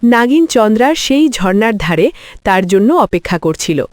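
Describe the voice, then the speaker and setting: A woman's voice: medium pitch, very animated, moderate pace, darkish tone, no echo, studio quality. A woman narrating a scripted cartoon story.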